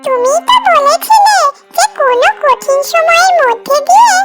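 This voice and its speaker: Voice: very high-pitched, lively intonation, normal speed, fairly bright tone, faint music behind. A performer voicing a small, sick but brave bird daughter in a children's cartoon. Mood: caring.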